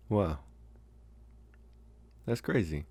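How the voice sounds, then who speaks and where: Deep gravelly gentle vampire played by a man. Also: exaggerated voice. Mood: casual, amused. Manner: soft-spoken reassurance with lingering pauses. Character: gentle, smitten male college vampire, reassuring and mysterious. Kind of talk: slow, intimate ASMR roleplay. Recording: echoey, faint background noise.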